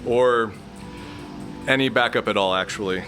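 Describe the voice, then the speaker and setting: A man's voice: on the low side, unhurried, fairly bright tone, soft background music. A man playing a hesitant, stammering young man in a comedic audio drama. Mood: sheepish.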